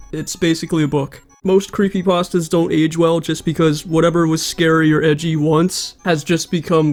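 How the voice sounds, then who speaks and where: A man's voice: on the high side, a little mellow. A young man giving a casual, matter-of-fact explainer commentary in an informal way. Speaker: video creator narrating commentary. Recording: voice over video game background music. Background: video game music.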